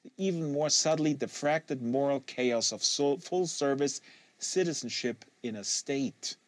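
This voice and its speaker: Male audiobook narrator, mid-range pitch, emphatic phrasing, very dry sound. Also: unhurried, dry recording. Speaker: audiobook reader hosting a live reading series.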